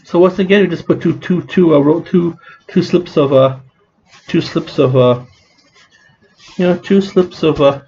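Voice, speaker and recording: A man talks in a loose, rambling vlog, his voice a bit scratchy and mellow. He stops and restarts often, full of fillers. The sound is slightly dull with a faint hiss behind it. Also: fairly high pitch. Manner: halting, many restarts and fillers. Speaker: vlogger.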